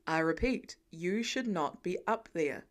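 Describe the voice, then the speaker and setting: A woman's voice: lowish voice, lively intonation, unhurried, voice pushed down. Woman reading as a dry, fussing protective husband. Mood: stern. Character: protective, bantering husband and father, adult man.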